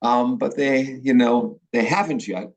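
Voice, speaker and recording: A Midwestern man teaching a graduate class over a video call. He talks in a loose, chatty way, pausing and restarting as he goes. His voice sits at an ordinary middle pitch. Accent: Upper Midwest American. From Milwaukee, Wisconsin. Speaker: professor. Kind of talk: online class orientation.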